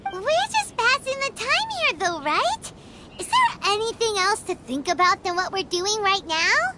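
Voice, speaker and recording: A woman voicing a small, childlike, excitable fairy companion in a video game, with a blunt, incredulous manner. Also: very high voice, a little slow, heavy background noise, heavily acted. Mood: puzzled. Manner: blunt, incredulous, speaks of herself in third person. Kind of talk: video game character acting.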